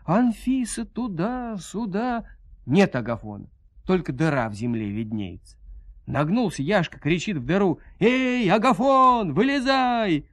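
Guy reading an old fairy tale, super animated and folksy, dry close sound. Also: fairly high pitch, unhurried, no room sound. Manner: theatrical, dramatic folksy storytelling. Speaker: storyteller narrator. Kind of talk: expressive scripted folk-tale narration.

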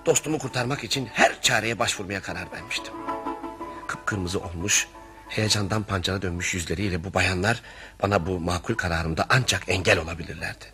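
A man's voice: lowish voice, a bit bright. A man playing an earnest, exasperated friend and narrator in a radio theatre comedy.

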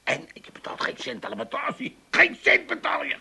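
Heartless old father, Amsterdam accent, teasing, bright tense tone. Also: very high voice, very animated, moderate pace, gravelly, heavily acted. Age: older. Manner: blunt, mocking, teasing. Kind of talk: radio comedy acting.

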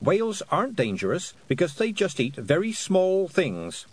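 Man voicing a plucky young girl, raised pitch. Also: sing-song, moderate pace. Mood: matter-of-fact. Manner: earnest, emphatic, matter-of-fact. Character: plucky, stubborn young girl, insistent and knowing.

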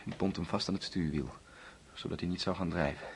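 A man acting in a radio drama as an anguished young man confessing, halting and intense as he repeats his words. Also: deep voice, fairly even intonation, slight background noise. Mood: haunted confession.

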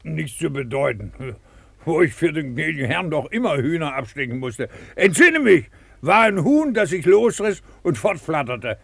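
An actor playing a boozy, evasive, simple valet with halting, muddled speech in a 1950s German radio drama. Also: male voice, a little high, very animated, vocal fry, a bit bright, slightly rough, dry recording, full character voice.

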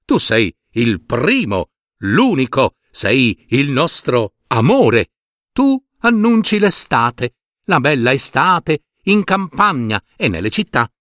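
A man's voice: mid-range voice, big pitch swings, slow, a bit bright, no echo, clean studio sound. Man voicing adoring, lilting sunbeams. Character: adoring, singing sunbeams praising a young flower.

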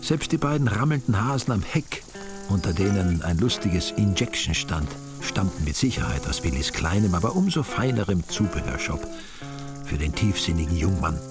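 A low-voiced male narrator of a village crime story. He tells it in a wry, dry, literary way, with music clearly behind him. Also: big pitch swings, moderate pace. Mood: wry, measured. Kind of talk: scripted radio drama narration.

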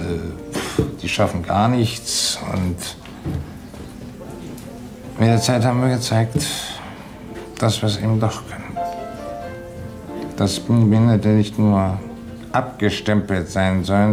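A man, a theatre actor, talking reflectively in a halting, deliberate way. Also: lowish voice, steady tone, vocal fry. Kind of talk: reflective interview talk. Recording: music playing behind the voice. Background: music.

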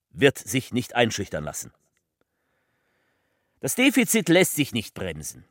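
An actor playing a fervent politician giving a theatrical speech, declamatory and emphatic, with deliberate pauses. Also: male voice, medium pitch, dry recording, studio quality. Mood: grave, insistent. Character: fervent male politician delivering a rambling, faltering public speech.

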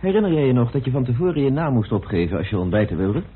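An actor playing a methodical, insistent amateur detective in a radio play, speaking deliberately with theatrical pauses as he accuses. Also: medium pitch, very animated, slight background noise, close to an everyday voice. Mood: methodical, pressing. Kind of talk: radio play acting in Dutch. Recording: muffled old radio drama recording.

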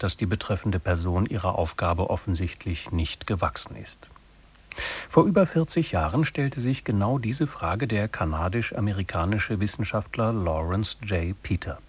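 A man's voice: lowish voice, breathy edge. A man delivering a scripted introduction as a radio announcer.

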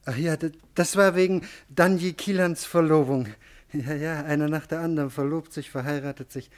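A man's voice: on the high side, steady tone, normal speed, lightly acted. An actor playing a timid, servile, frail man in his forties in a radio drama, hesitant and stammering, often repeating his words. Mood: eager, shy. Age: 40s. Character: timid, servile, frail man of forty-three, humble town fool. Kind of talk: German radio drama acting.

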